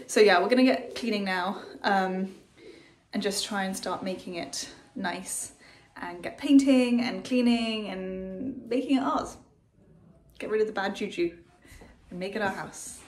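A woman's voice: medium pitch, a little hiss or hum. A young woman, chatting candidly to her phone camera as she walks through a house and down a street. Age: young adult. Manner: chatty, candid, talks while walking around. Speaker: vlogger. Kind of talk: casual vlog narration. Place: empty house indoors, then outdoors on a street. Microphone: phone at arm's length.

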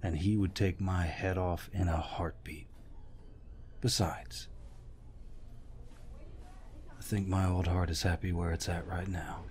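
An actor playing a gruff, protective older war veteran in an intimate audio roleplay, deep-voiced, tender and teasing. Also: male voice, fairly even intonation, slow pace, long pauses, a little mellow, a little hiss or hum. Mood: playful, affectionate.

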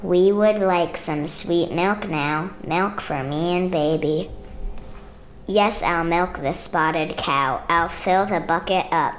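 A woman reading a children's picture book aloud in measured, rhythmic rhyme. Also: lowish voice, a little hiss or hum. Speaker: storybook reader.

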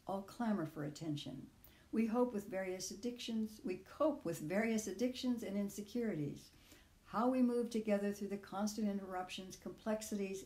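An older woman reading her own personal essay aloud in measured, deliberate phrases. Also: mid-range voice, dark tone, roomy sound.

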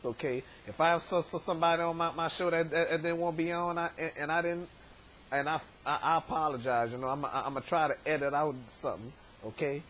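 Hoarse male vlog host in his thirties, fairly high voice, rambling and stammering, webcam in a bedroom with faint hiss. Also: fairly bright tone. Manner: rambling, many stammered repeats. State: hoarse, voice worn from shouting. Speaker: show host. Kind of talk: casual vlog shout-outs. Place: bedroom, indoors. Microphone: webcam at desk distance.

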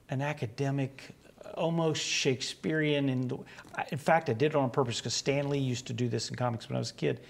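Guest, man in his 60s, West Virginian accent, gravelly, easygoing storyteller, lapel mic. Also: medium pitch. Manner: rambling, anecdotal, acts out quoted exchanges. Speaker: guest, comic book writer. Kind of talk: relaxed storytelling interview. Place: small studio set.

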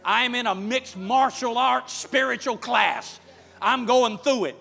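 A middle-aged male pastor preaching and praying fervently before his congregation, building to loud prayer. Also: very high-pitched, expressive, measured pace, gravelly. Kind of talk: sermon and prayer. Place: large church sanctuary with congregation. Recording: church hall with music behind.

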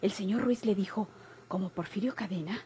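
An actress playing an excitable, nosy young daughter with a Mexican accent in an old radio drama. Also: female voice, medium pitch, fairly quick, husky. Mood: breathless, confiding. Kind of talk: golden-age Mexican radio drama acting.

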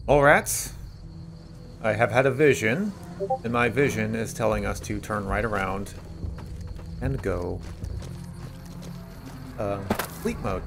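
A man's voice: fairly low pitch, vocal fry. Man rambling through casual game commentary, music behind. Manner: rambling, sweary. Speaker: video game streamer.

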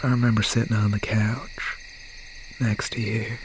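Man acting a slow, menacing slasher boyfriend. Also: fairly low pitch, noisy background, natural voice. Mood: bitter, menacing. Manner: slow, breathy, half-whispered menace with pauses. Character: obsessed, bitter young slasher boyfriend, menacing serial killer. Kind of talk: ASMR roleplay, dramatic monologue. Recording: steady nighttime ambience over the voice. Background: crickets, insects and owl night sounds.

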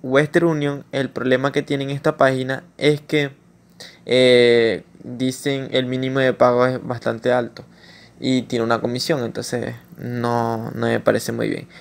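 A Latin American man narrating a screen-recorded tutorial in an informal way, pausing with fillers and restarting his sentences. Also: mid-range voice, level tone, vocal fry. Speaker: YouTuber explaining online earnings.

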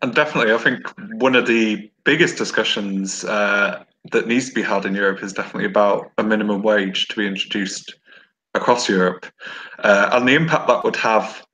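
A young man moderating a webinar over a video call, speaking briskly and persuasively with many fillers. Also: fairly low pitch, bright, forceful tone, low-quality recording. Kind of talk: casual discussion. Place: indoor room with brick wall. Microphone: video call webcam.

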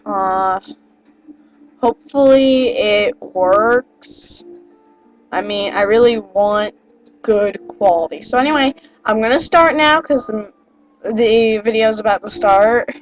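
A woman's voice: medium pitch, slow, soft background music, low-quality recording. A teenage girl rambling casually to her webcam, getting over a cold and sounding a little nasal, full of fillers and apologies. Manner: chatty, rambling, self-deprecating, many fillers. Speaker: vlogger. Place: small wood-paneled bedroom indoors. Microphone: built-in webcam microphone.

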